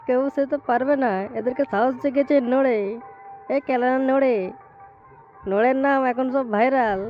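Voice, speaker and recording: Woman as a high-pitched cheeky cartoon village guy. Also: slight background noise, natural voice. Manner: slangy, teasing, chattering. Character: cheeky, excitable village male buddies in comedy cartoon. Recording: dull lo-fi sound, music behind.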